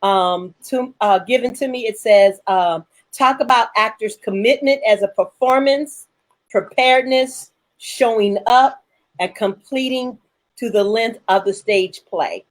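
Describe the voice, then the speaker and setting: A woman's voice: fairly high pitch, unhurried. A woman moderating a panel discussion in a conversational style, as its host.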